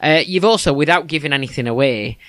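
A man's voice: fairly high pitch, quick pace, a bit bright, dry recording. A man with a Yorkshire accent hosting a casual podcast chat, chuckling often and restarting his sentences. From England. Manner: chatty, chuckling, with many restarts. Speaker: podcast host.

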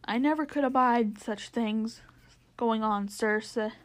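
An honest London cabby from a storybook, acted out by a woman, with a Cockney accent. He is humble and plain-spoken, picking his words haltingly and deferring respectfully. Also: fairly high pitch, lightly acted. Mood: humble, earnest. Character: humble, honest working-class male London cab driver.

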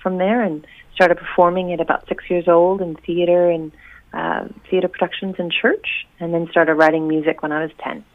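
A woman's voice: lowish voice, little pitch movement, moderate pace. A woman from Oregon with an American accent slightly shifted by New Zealand, chatting bubbly as a musician guest, laughing often and using many fillers. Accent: American with a New Zealand tinge. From Oregon, USA. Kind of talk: casual interview chat. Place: at home in New Zealand, over a call. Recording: tinny phone line.

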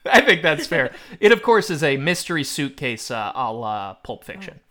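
Higher-pitched man hosting a comic film quiz. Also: very animated, moderate pace, pushed and bright. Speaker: podcast quiz host. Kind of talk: comic film trivia banter.

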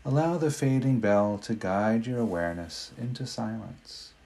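A man leading a guided meditation in a gentle, unhurried manner with long pauses between phrases. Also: on the low side, vocal fry.

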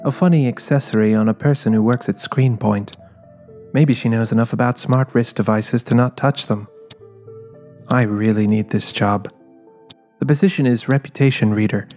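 A man's voice: medium pitch, close to an everyday voice. A man playing a guarded, driven female private eye, narrating introspectively in a scripted audio drama. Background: quiet music.

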